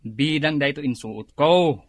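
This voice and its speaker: An actor playing a henpecked, teasing village husband with an Ilocano accent in a comedy radio drama. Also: male voice, fairly high pitch, a little slow, no room sound. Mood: grumbling.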